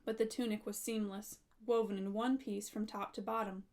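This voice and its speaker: A woman reading scripture aloud, measured and phrase by phrase. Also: medium pitch, little pitch movement, a little mellow. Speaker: scripture reader.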